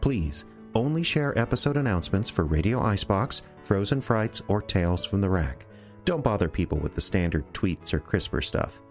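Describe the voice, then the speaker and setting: A man's voice: on the low side, expressive, normal speed, soft background music. A man hosting and announcing a radio drama show, reading credits and an earnest appeal to listeners. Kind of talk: scripted announcement.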